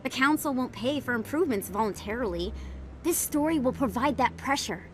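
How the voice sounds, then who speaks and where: A woman playing a driven, keen investigative reporter in a video game, brisk and persuasive. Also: sits high, animated, slight background noise.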